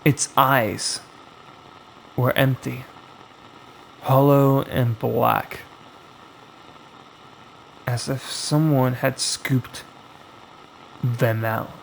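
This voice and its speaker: A man narrating a scripted horror story as its storyteller, in a dramatic, suspenseful way with long pauses. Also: medium pitch, steady tone, vocal fry, slight background noise, poor audio. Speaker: storyteller, host of a horror anthology.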